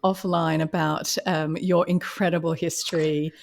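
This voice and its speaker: A woman with a slightly low-set voice hosts an interview podcast. She presents to listeners and guests in the manner of a programme host. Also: level tone, a little slow, gravelly. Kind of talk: scripted introduction, then conversational interview.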